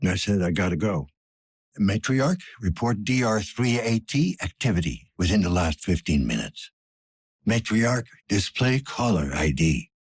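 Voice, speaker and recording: An actor playing a weary, gruff older police detective in a cyberpunk video game, with a gravelly, breathy rasp and a terse, dry manner. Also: male voice, on the low side, sing-song, unhurried, exaggerated voice. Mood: terse, businesslike. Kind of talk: scripted game character dialogue.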